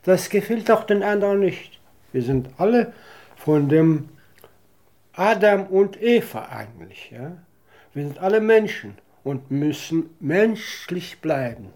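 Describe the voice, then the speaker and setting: A man with a rather high, soft-toned voice muses aloud in conversation. He takes his time, rambling a little, pressing his points and checking that he is followed. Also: expressive, unhurried. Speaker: interviewee. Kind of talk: spontaneous conversational philosophising.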